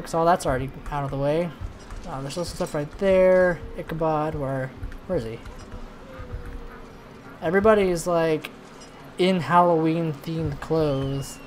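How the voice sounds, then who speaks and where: A man doing casual let's-play commentary, thinking aloud in a rambling way, with a slightly hoarse voice. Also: fairly high pitch. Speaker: host of a gaming channel. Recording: noisy recording with background ambience.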